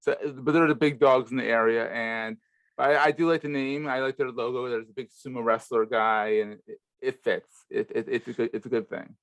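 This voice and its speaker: Male podcast host, mid-pitched, casual interviewer with a close, dry sound. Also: fairly even intonation, normal speed, a bit bright, no room sound.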